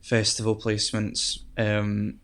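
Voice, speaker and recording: A young man with a soft, gentle voice, talking as a guest in a casual interview. He speaks low and with little rise and fall in pitch. He pauses often and fills the gaps with ums and restarts. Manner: relaxed, hesitant, many ums and restarts. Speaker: guest musician.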